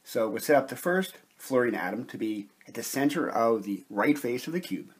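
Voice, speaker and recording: A man with a slightly husky voice giving a measured, methodical lecture, explaining step by step as an instructor. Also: medium pitch, expressive. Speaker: chemistry instructor.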